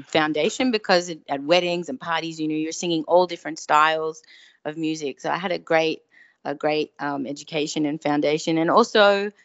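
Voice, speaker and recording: A woman's voice: low-pitched, dry recording, highs cut off. An Australian woman chatting casually and effusively as a guest singer-songwriter in an interview. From Melbourne, Australia.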